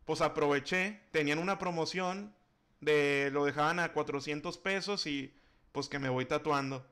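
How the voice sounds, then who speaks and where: A man's voice: fairly high pitch, moderate pace, a bit bright, clear, resonant tone. A man, chatting casually on a phone call during a livestream. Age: 20s. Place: indoor room at home.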